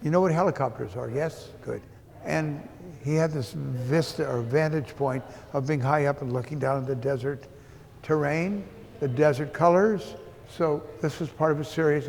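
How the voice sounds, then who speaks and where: A man in his eighties gives an informal gallery tour in a halting, deliberate way with a dry, joking manner. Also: a little high, vocal fry, a little mellow, slight background noise. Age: 80s. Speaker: art gallery owner giving an exhibition tour. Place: indoors in an art gallery. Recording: long echo of a hard-walled gallery.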